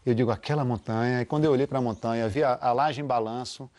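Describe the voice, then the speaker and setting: A man's voice: medium pitch, fairly even intonation, fast talker, darkish tone. A man being interviewed, telling a personal story and acting out the lines of the people in it. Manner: storyteller who acts out quoted dialogue. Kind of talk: anecdotal storytelling.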